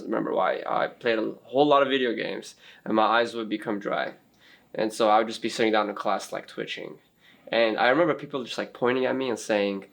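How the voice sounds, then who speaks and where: A man's voice: mid-range voice, creaky. A man in his twenties talking reflectively in a group discussion. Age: 20s. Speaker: interview participant.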